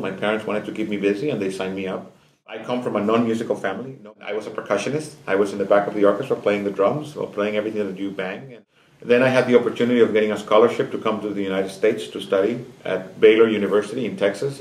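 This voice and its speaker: A middle-aged man from Nicaragua, a music director, answering interview questions in a thoughtful, storytelling way with small restarts. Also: fairly low pitch. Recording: echoey concert hall.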